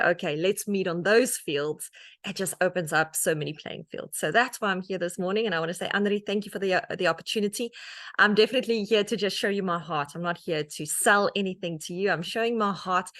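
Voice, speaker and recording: A woman's voice: medium pitch, no room sound, clean studio sound. Earnest Afrikaans-accented South African woman. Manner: earnest and conversational, many restarts and "um"s, animated when telling stories. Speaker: guest speaker, author. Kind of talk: personal testimony talk to an audience.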